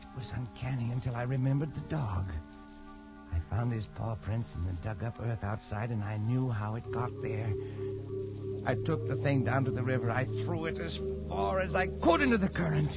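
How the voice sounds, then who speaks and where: A man playing a haunted, unravelling colonial adventurer telling his tale with intense, halting pauses in a post-war radio drama. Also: medium pitch, animated, natural voice. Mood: uneasy, intense. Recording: dull vintage radio with loud music behind.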